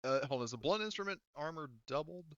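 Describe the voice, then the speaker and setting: A man's voice: a little high, expressive, fast, a bit bright, no echo, muffled recording. A man acting as game master, talking casually and thinking aloud through the rules of a tabletop roleplaying game.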